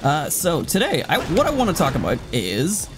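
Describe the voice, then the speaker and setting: A man's voice: on the high side, wildly swooping pitch, normal speed. A man giving casual, rambling game commentary, chatting to the game as he plays. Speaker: let's player commentating a game. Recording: loud game music behind voice. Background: video game music.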